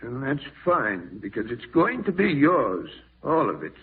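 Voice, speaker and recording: An actor playing a stern, ailing old farm-owning uncle in a vintage radio drama, deliberate and folksy, with a gravelly, husky voice. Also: male voice, medium pitch, sing-song, heavily acted. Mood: solemn, confiding. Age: older. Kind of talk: 1940s radio drama acting. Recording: muffled old radio recording.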